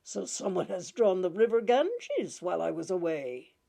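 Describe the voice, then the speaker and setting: A woman's voice: fairly low pitch, very animated, moderate pace, gravelly, dark tone, full character voice. A woman reading a story aloud, giving lines to a weary, dryly humorous old schoolmaster in close to her own voice. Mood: wry.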